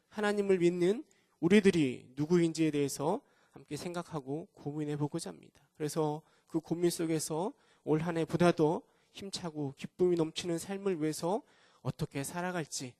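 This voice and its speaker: A man preaching with a hoarse, cold-roughened voice that sits fairly high. He speaks earnestly and keeps asking the congregation rhetorical questions. The sound is dry and close, with no room echo. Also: a little mellow. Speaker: pastor. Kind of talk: sermon at a Friday prayer meeting. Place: church.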